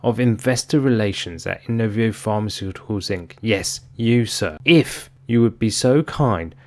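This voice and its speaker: A man's voice: lowish voice, normal speed. Exasperated man giving opinionated commentary. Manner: exasperated, emphatic, rhetorical questioning. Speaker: host of an investor commentary channel.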